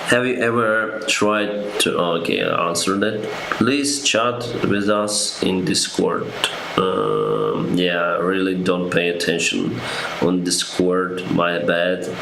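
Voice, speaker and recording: A young man, an airline pilot answering viewer questions on a live stream, reading each one out and replying with frequent pauses and ums. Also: sits low, gravelly. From Ukraine. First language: Russian. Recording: echoey room with background noise.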